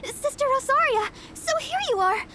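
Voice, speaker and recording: Flustered, extremely high-voiced young nun. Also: female voice, lively intonation, moderate pace, sharp tone, slight background noise, heavily acted. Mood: pleading. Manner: hesitant, stammering. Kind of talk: video game voice acting.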